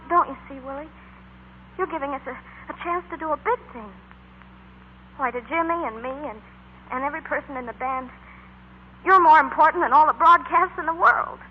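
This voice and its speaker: A woman's voice: sits high, a little hiss or hum, lightly acted. Woman playing an earnest, muffled American teenage girl. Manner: gentle, heartfelt persuasion. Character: earnest, loyal teenage girl, softly pleading. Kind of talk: 1940s radio acting. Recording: muffled 1940s radio recording.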